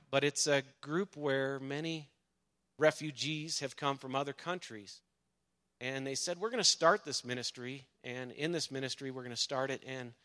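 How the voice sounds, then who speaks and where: A man in a church, introducing a guest pastor in a halting way with many pauses. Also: medium pitch, a bit bright. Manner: halting, many fillers, a little emotional. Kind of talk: church announcement. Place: church sanctuary.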